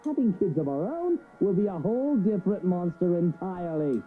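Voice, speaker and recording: A man's voice: high voice, lively intonation, a little fast, soft background music. A man playing an easygoing, wry husband in a visual novel game, chatty, heard through muffled, thin sound. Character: easygoing husband musing wryly about children.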